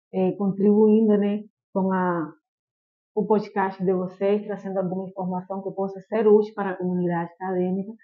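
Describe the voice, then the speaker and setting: A woman from Cuba with a Cuban Spanish accent, a chemistry professor giving measured, explanatory answers full of small fillers as a podcast guest. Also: medium pitch. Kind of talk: podcast interview. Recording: tinny phone-like line.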